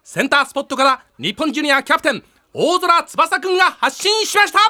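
A man playing an excitable play-by-play soccer announcer in an anime, building up each play dramatically. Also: extremely high pitch, fast, slight background noise, full character voice. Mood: eager, building. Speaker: sports commentator. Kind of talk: anime sports commentary.

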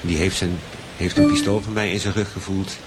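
An actor playing a scheming, calmly menacing detective writer who insists and repeats himself, in an improvised radio drama. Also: male voice, deep voice, fairly quick, natural voice.

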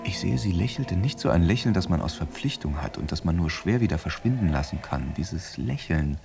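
An actor playing a jealous, tormented soldier in a radio play monologue, theatrical and shifting between murmurs and outbursts. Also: male voice, deep voice, lively intonation, a little fast, creaky, over music. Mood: brooding, hushed. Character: jealous, tormented soldier brooding over his unfaithful lover.

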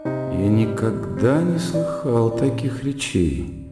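An actor playing an educated, fastidious gentleman, thinking aloud in a brooding, reflective way in a radio drama. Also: fairly low pitch, big pitch swings, creaky, exaggerated voice. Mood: brooding, troubled. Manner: reflective inner monologue. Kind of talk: Russian radio drama acting. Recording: echoey long reverb with plucked music behind.

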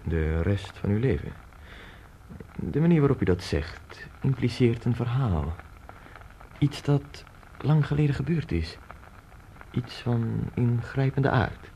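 An actor playing an inquisitive, courteous Spanish traveller of nearly forty in a radio drama, speaking formally and wordily. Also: male voice, medium pitch, animated, takes it slowly, long pauses, warm tone. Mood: courteous, probing. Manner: formal, wordy, probing. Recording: noisy old radio play recording.

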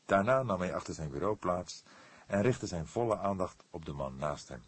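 Male audiobook narrator for crime fiction: deep register, raspy edge, expressive scripted reading, very dry recording. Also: normal speed, dry recording.

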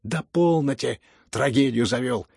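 A man's voice: medium pitch, sing-song, a little fast, gravelly, husky, no echo, studio quality, full character voice. A man voicing a spiteful, exasperated grown son who angrily scolds his father in an audiobook, with biting delivery. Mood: angry, spiteful. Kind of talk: dramatized audiobook reading.

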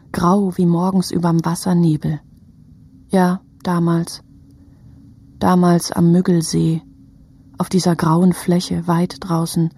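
A woman's voice: on the low side, dark tone, breathy edge, full character voice. A woman narrating a literary radio play as a sober observer of a city at war, in clipped, fragmentary phrases.

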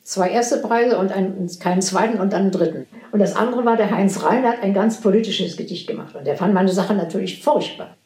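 A very old woman, a poet, telling anecdotes from her past in animated, casual conversation. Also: mid-range voice, brisk. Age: 80s or older. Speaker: poet recalling her career. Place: indoors in a room. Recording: roomy echo with music.

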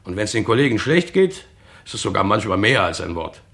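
A defiant working man in a radio crime drama. His voice is gravelly and swings widely in pitch as he argues in earthy, coarse words. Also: very animated, brisk, fairly bright tone, heavily acted. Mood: bitter, stubborn. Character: defiant, blunt male factory worker, gruff and plain-spoken.

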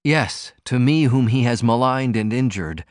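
Male narrator as weary man, wry, quietly contemptuous, deliberate, mid pitch, storybook character. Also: no room sound, studio quality, lightly acted. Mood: mock moralising. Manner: soft-spoken, deliberate, ironic.

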